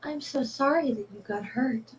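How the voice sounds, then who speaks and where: A woman's voice: high voice, moderate pace, natural voice. A woman voicing a tiny, cheerful winged archaeologist who talks sweetly and sarcastically. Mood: sympathetic. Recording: distant dull lo-fi mic.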